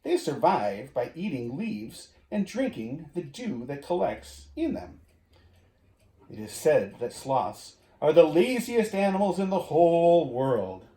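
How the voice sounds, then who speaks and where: A man reading a children's storybook aloud in an expressive way, with drawn-out emphasis, as if talking to young listeners. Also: a little high. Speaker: community education director reading to children. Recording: roomy echo.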